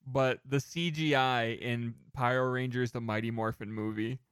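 A man with a medium-pitched voice talks as a podcast co-host. His delivery is conversational and joking, with plenty of casual swearing. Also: fairly even intonation, normal speed, fairly bright tone, smooth. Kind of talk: casual chat about movies.

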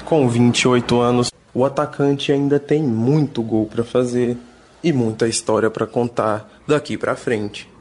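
A male sports reporter reading interview questions and scripted narration in a measured, formal way. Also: medium pitch, fairly even intonation, background noise.

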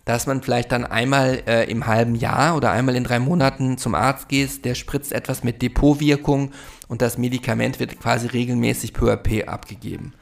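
A man's voice: mid-range voice, steady tone, a little fast, vocal fry. A man in his forties from Germany hosting a solo podcast, talking in a reflective, rambling way with many fillers. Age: 40s. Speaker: podcast host.

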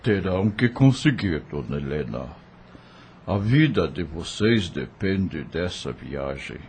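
An actor with a Brazilian accent playing a grave, kindly helper of fugitives in a radio drama, speaking in a measured, solemn way. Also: male voice, sits low, big pitch swings, a little mellow, a little hiss or hum, full character voice. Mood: solemn, urging.

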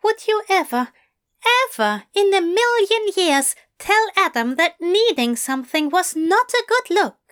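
Woman doing a fussy gentleman angel, high lilting voice, very lively, earnest. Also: measured pace, heavily acted. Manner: bright, fluttery, earnest.

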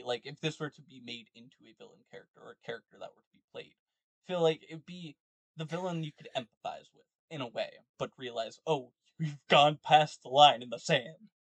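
A man chats casually as a podcast co-host about tabletop games. His voice is on the higher side and recorded very dry. He works out his opinions aloud in halting phrases. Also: no room sound, rough recording. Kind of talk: casual tabletop gaming chat. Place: online voice call, dry sound.

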